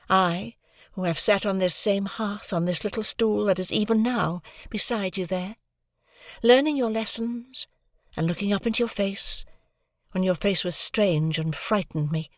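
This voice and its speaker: A woman voicing a proud, composed young woman who speaks in a measured, cool way, in an audiobook reading. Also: medium pitch, no echo, studio quality, pitched above the performer's own voice, lightly acted. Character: cold proud young woman, calm and reasoning.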